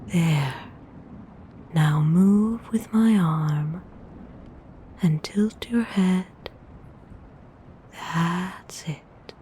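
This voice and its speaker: A tender, possessive vampire noblewoman, played by a woman whispers very slowly in an ASMR roleplay. Her breathy voice is soft and mellow, coaxing and intimate. A faint noise sits behind the whisper. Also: on the low side. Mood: calm, coaxing. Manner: soothing, slow, intimate coaxing.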